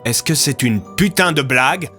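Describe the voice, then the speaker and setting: A man's voice: medium pitch, animated, moderate pace, sharp tone, voice pushed up. Narrator guy doing a fed-up whiny blunt man, incredulous, music in the back. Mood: incredulous, annoyed. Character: grumbling, foul-mouthed, exasperated man. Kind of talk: audio fiction character acting.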